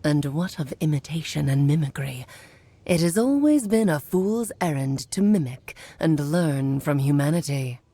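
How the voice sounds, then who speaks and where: Coy, lively witch. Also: low-pitched, a little slow, heavily acted. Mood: coy, teasing. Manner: theatrical, coy. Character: playful, grand elder witch, coy and motherly storyteller. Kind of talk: video game character acting. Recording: music playing behind.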